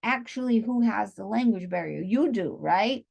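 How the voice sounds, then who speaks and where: A woman teacher educator giving a classroom lecture, unhurried, thinking aloud with frequent pauses and checking in with her listeners. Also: medium pitch, expressive, gravelly, a little mellow. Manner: unhurried, checks in often with "okay?" and "right?". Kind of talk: classroom lecture explaining a chart.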